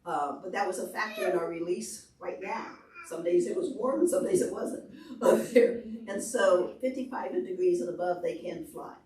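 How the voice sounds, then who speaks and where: A woman presenting to preschoolers and parents, explaining simply and asking questions in an animated, demonstrative way. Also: lowish voice, moderate pace, hardly any pauses. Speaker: visiting presenter at a children's library program. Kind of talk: interactive talk to preschoolers and parents. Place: large indoor library meeting room. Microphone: far camera. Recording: echoey room, distant camera microphone.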